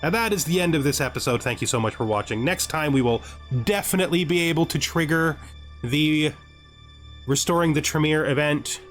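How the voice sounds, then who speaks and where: A man's voice: a little high, brisk, a bit bright, faint music behind. A man doing casual gaming commentary as a let's play host, thinking aloud to himself as he goes.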